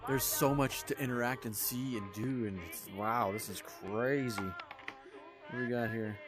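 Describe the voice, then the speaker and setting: A man's voice: mid-range voice, unhurried, music in the background. A man hosting a gaming video with casual, off-the-cuff let's-play commentary.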